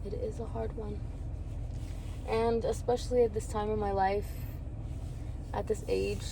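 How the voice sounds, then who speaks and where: A woman's voice: medium pitch, level tone, a little slow, vocal fry, background noise. A woman in her early twenties talking candidly about her feelings in a rambling personal vlog, laughing now and then. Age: 20s. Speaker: vlogger.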